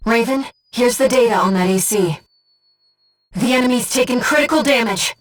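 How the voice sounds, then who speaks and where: A woman voicing a young, gentle, bodiless female spirit in a video game, soft-spoken and precise as she guides a mercenary through missions. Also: a little high, normal speed, distant mic, natural voice. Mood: composed, focused. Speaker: mission operator companion. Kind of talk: video game voice acting, tactical radio guidance.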